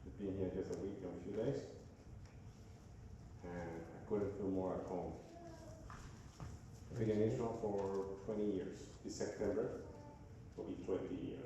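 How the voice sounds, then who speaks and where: A man with a Venezuelan Spanish accent, preaching as a guest speaker in a church, pausing often to search for his words. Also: low-pitched, steady tone, background noise. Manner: halting, searching for words, frequent "uh" and restarts. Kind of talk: sermon. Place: church sanctuary. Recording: echoey hall from far off.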